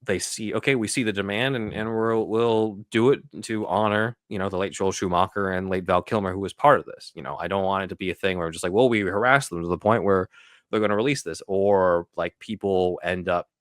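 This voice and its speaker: A man's voice: low-pitched, steady tone, brisk, no room sound, studio quality. A man talking casually and emphatically as a podcast host, in a conversational way. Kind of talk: casual film discussion.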